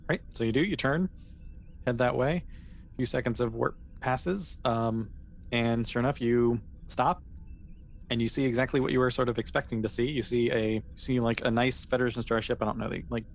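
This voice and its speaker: A man's voice: medium pitch, fairly even intonation, normal speed. A man narrating a tabletop role-playing game as game master, in an informal, hesitant, piecemeal way. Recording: clear background noise.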